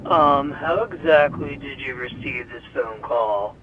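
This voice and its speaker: A man playing a shaken paramedic who speaks in a subdued, hushed way, heard over a radio or phone. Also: medium pitch, takes it slowly, noisy background, close to an everyday voice. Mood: uneasy. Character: shaken male paramedic, grim and low-key. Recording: distant, muffled radio and phone sound.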